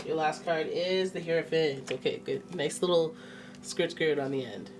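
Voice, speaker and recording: A woman's voice: low-pitched, moderate pace, distant mic, faint music behind. A woman with a stuffy nose giving a casual, chatty tarot reading, exclaiming and thinking aloud as she turns the cards. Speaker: tarot reader.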